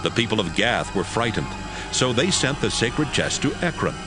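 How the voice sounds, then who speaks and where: Low-voiced man narrating, lively intonation. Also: normal speed, over music. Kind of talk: scripted dramatized audiobook narration.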